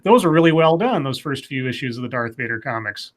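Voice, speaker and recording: A man's voice: mid-range voice, lively intonation, brisk. A middle-aged man giving casual opinions in an emphatic, sweary way. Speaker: podcast guest.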